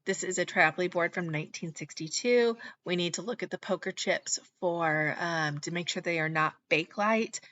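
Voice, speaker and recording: A woman in her thirties hosting her own channel, chatting casually in a voice-over, her voice slightly hoarse after being sick, with frequent ums. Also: lowish voice, normal speed, hardly any pauses, a bit bright, lo-fi sound. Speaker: channel host, reseller. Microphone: voice-over mic.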